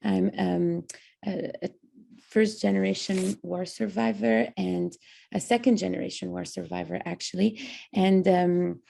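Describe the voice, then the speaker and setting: A woman from Lebanon speaks on a panel in an earnest, reflective way and often stops to search for words. Her voice is dark and mellow with a gravelly fry. Her pitch stays quite flat. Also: measured pace. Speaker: panelist, founder of an organization. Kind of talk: online panel talk.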